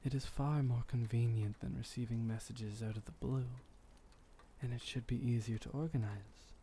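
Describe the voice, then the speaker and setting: Man as ancient male vampire painter, mellow creaky voice, unhurried, tender and wistful, formal wording, intimate ASMR roleplay. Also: medium pitch, fairly even intonation, a little hiss or hum, lightly acted. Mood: pensive, mildly weary. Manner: soft-spoken, unhurried, formal old-fashioned phrasing, musing. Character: gentle, melancholy, centuries-old male vampire painter, devoted lover.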